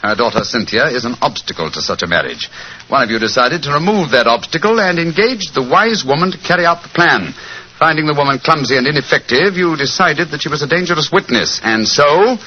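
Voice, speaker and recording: A keen English detective of 1940s radio drama, commanding and theatrical. His British voice swoops expressively and cuts brightly through a hissy old recording. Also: fairly high pitch, expressive, a little fast, lo-fi sound. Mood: grave, probing. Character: keen, self-assured English male detective.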